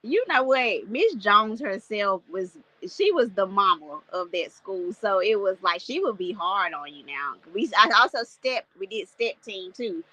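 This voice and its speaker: A woman in her thirties from North Carolina with a squeaky voice, chatting casually as an interview guest, laughing often and using lots of fillers. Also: lively intonation, measured pace, hardly any pauses, sharp tone, dry recording, poor audio. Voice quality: self-described squeaky voice. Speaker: guest artist.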